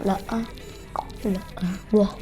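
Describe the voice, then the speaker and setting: A woman's voice: moderate pace, warm tone, soft background music. A woman with an Egyptian accent chatting casually and teasingly as she hosts a gaming video. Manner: playful, teasing. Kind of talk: casual chat while playing a game.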